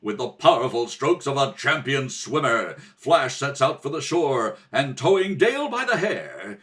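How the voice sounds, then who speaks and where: A man's voice: mid-range voice, very animated, a little slow, fairly bright tone, recorded from a distance. A man giving theatrical, dramatic narration in the style of an old-time radio adventure serial. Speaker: narrator, rehearsal reader. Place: kitchen table at home. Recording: home recording, slightly off the mic.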